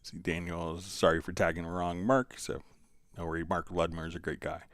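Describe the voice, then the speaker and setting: A man hosting a live stream, casually explaining music software step by step and answering viewer questions in an easygoing way. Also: deep voice, level tone, brisk, dry recording, studio quality.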